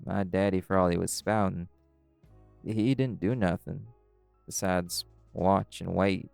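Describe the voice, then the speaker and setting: A man playing a calm, caring were-dog pack alpha in an audio roleplay, gently reassuring a friend. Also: sits low, unhurried, vocal fry, clean tone, no room sound, faint music behind. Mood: steady, earnest. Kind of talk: audio roleplay acting, intimate comforting talk.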